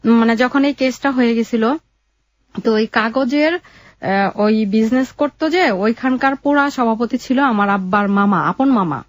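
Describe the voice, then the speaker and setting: A young woman with a Bangladeshi accent telling her life story in an interview, in a halting, colloquial way. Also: on the high side, no room sound, muffled recording.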